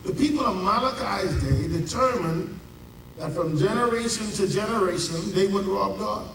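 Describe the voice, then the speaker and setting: A Bahamian man preaching a sermon as pastor, with dramatic pauses and drawn-out emphasis. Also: high-pitched, a bit bright, slight background noise. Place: large church. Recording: echoey church heard from a distance.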